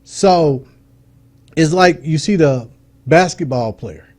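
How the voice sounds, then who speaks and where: A middle-aged man, his voice sitting a little high but soft and mellow. He speaks informally and earnestly as a talk-show guest and builds his points with homely examples. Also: expressive, takes it slowly. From Detroit, USA. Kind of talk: casual talk-show conversation.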